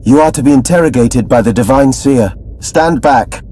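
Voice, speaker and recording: An actor playing a dutiful palace soldier in a video game, with formal military address. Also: male voice, medium pitch, normal speed, a little hiss or hum, close to an everyday voice. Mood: stern. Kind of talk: scripted video game cutscene acting. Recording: loud music behind the voice.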